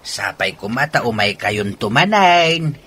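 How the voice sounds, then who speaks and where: Casting: man as affectionate Ilocano grandfather, elderly, radio drama, bright and lively delivery. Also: mid-range voice, measured pace, slightly rough, full character voice. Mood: warm, instructing. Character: fond elderly grandfather farmer.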